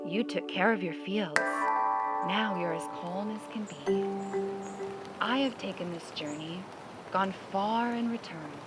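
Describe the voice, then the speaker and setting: A woman voicing a wise, calm mountaintop guide in a children's storybook, speaking softly and reassuringly. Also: mid-range voice, unhurried, voice pushed down, lightly acted. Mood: calm, encouraging. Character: wise, calm, well-travelled mountaintop friend. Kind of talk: children's storybook read-aloud. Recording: prominent music under the voice.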